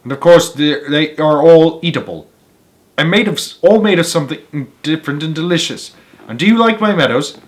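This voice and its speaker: A man's voice: on the high side, pitched above the performer's own voice, close to an everyday voice. Eccentric chocolate factory boss for a storybook, played by a male reader: boastful, gushing, exclamatory. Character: excitable, boastful chocolate factory owner, eccentric grown man. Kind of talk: storybook character voice.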